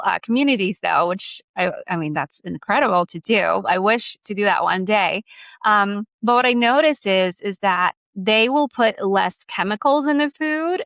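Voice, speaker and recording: A woman's voice: medium pitch, a bit bright, no echo, studio quality. A young woman from Poland hosting a podcast, chatty and affirming, speaking casually about food abroad. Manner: chatty, frequent affirming backchannels. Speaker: podcast host.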